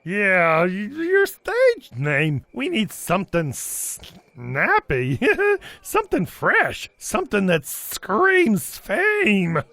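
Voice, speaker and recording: A woman voicing an excitable male mummy music mentor in an animated film, a hyped showman who stammers when flustered. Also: medium pitch, very slow, dry recording, full character voice. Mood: eager pitching.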